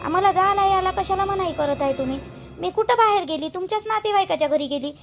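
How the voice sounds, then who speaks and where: A woman's voice: extremely high pitch, fast, soft background music. A woman playing an aggrieved, stubborn young village wife in a family cartoon, protesting and questioning in a Vidarbha Marathi accent. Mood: hurt, puzzled. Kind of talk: family drama cartoon dialogue.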